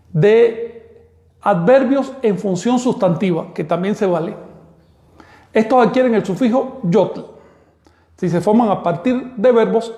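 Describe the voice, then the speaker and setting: A man giving an online language lesson, explaining terms slowly and deliberately. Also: high-pitched, vocal fry, mellow. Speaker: language teacher. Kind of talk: online lecture. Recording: echoey room.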